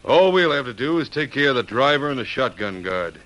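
Casting: bossy outlaw chief, hard bright voice, lively and commanding, old radio western. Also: male voice, medium pitch, brisk. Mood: bossy, menacing. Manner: barking, commanding. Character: tough, bossy male outlaw gang leader.

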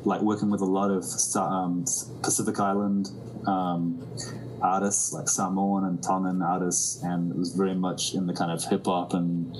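A musician from New Zealand, a man, speaking reflectively in an interview. He often restarts sentences and fills pauses. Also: sits low, level tone, darkish tone, slight background noise, rough recording. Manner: thoughtful, many restarts and fillers. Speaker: musician guest.

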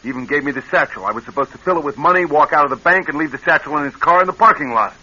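An actor in an American radio drama playing a bitter, wary ex-convict who is down on his luck, husky and curt. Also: a little high, expressive, racing, sharp tone, full character voice. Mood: bitter, defensive. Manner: curt, gruff, defensive. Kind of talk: classic radio drama acting. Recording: muffled old radio recording.

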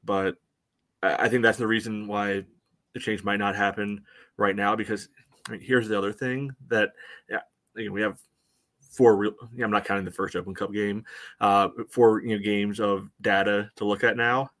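A man with a somewhat low voice co-hosts a soccer podcast. He gives casual, conversational analysis, hedging as he goes and leaning on "you know". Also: little pitch movement, normal speed, a bit bright.

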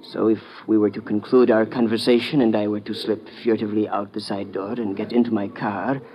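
Earnest low-voiced informant played by a man. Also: little pitch movement, warm tone, a little hiss or hum, natural voice. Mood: urgent, conspiring. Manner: formal, urgent, deliberate. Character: earnest, worried male East European informant. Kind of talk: 1950s radio drama acting. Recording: dull old radio recording.

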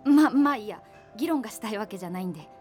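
A woman's voice: medium pitch, lively intonation, very fast, darkish tone, faint music behind, lightly acted. An actress playing a cool-headed young woman in a radio drama, politely hedging yet blunt as she rebuffs someone. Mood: exasperated. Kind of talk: naturalistic radio drama dialogue.

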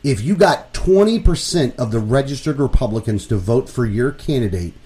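A man co-hosting a political talk radio show, explaining his views in a casual, opinionated way and working through numbers out loud. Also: medium pitch, animated, normal speed.

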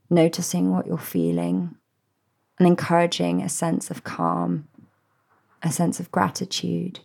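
A woman, hosting a podcast and speaking gently and heartfelt to her listeners. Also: fairly low pitch, vocal fry. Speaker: podcast host. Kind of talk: reflective solo podcast address.

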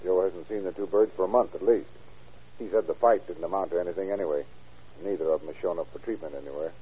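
No-nonsense detective, low dark husky voice, quick, blunt, old radio drama, muffled recording. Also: male voice. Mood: businesslike. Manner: brisk, blunt, slangy. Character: brisk, no-nonsense male detective sidekick.

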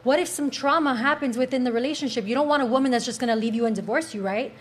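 A woman's voice: high voice, fast talker. A woman with a Cuban accent, a panelist on a casual podcast, making her points earnestly and from her own experience. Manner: earnest, persuasive, speaks from personal experience.

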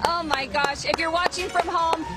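Very high-pitched excited finish-line announcer, loud music. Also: moderate pace. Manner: excited, cheering. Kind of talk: live race commentary.

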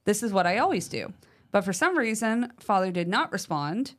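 A woman co-hosting a paranormal podcast, chatting casually and reading a listener's letter aloud with lively emphasis, using fillers like "like" and "um". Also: mid-range voice, normal speed. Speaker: podcast co-host.

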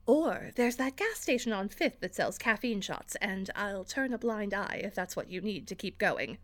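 Woman as a wry, animated theatre director. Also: mid-range voice, moderate pace, natural voice. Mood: businesslike, friendly. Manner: dry, businesslike. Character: brisk, wry theatre director running rehearsals. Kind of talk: audio drama acting.

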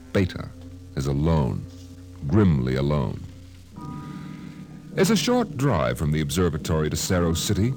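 A man announcing and narrating an old radio science fiction drama in a dramatic, declamatory style. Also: low voice. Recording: old radio broadcast with music.

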